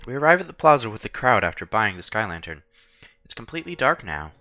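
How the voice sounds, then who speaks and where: Male streamer, expressive visual novel read-aloud, forward bright tone, faint music underneath. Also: fairly low pitch, fast talker, lo-fi sound.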